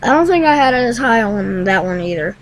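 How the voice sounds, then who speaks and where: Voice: medium pitch, animated, fairly quick, slight background noise. A young teenager hosting a casual screen-recorded tutorial in a rambling, hesitant way. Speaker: tutorial host. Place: room at home.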